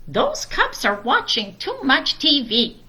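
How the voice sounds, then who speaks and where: A woman's voice: high-pitched, wildly swooping pitch, takes it slowly, sharp tone, pitched above the performer's own voice, full character voice. A woman voicing a firm, exasperated mother bear from a children's storybook, speaking emphatically.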